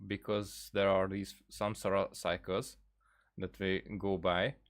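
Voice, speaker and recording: A man with a lowish voice comments casually on a game. He thinks aloud in a rambling, halting way, often doubling back on his words. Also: little pitch movement. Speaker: gaming video creator.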